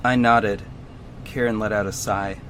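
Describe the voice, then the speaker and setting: An actor playing an earnest superhero who narrates his own story in a measured, serious audio drama style. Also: fairly low pitch, fairly even intonation, a bit bright, slight background noise. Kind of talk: scripted first-person audio drama narration.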